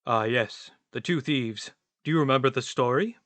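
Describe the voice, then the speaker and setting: A man's voice: mid-range voice, moderate pace, a bit bright, no echo, lightly acted. A man playing a brooding, pedantic tramp in a radio play, emphatic and ponderous, insisting on his points with some exasperation. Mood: prodding.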